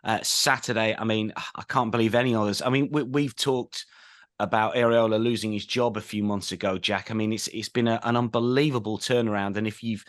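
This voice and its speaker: A man hosting a betting podcast, throwing quick questions to his co-hosts in casual chat. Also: on the low side, fairly even intonation. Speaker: podcast host.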